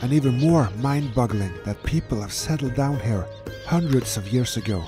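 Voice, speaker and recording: A man of middle pitch narrates his sailing trip in a steady, measured voice-over. His phrasing is slightly non-native, and music plays clearly beneath him. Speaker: solo sailor narrating his voyage.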